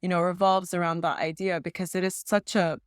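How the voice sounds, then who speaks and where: A woman's voice: lowish voice, flat delivery, fairly quick, smooth, no echo, studio quality. A woman from Saudi Arabia, a native Arabic speaker, talking reflectively as an artist in an interview. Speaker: textile and multimedia artist being interviewed.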